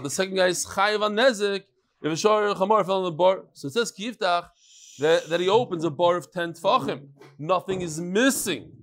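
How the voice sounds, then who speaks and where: Chatty rabbi reading emails in class. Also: male voice, high voice. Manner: lively, chatty, asides to the room, Hebrew and Yiddish terms. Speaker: Talmud teacher. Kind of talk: reading emails aloud in a daily Talmud class. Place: large study hall with wood paneling.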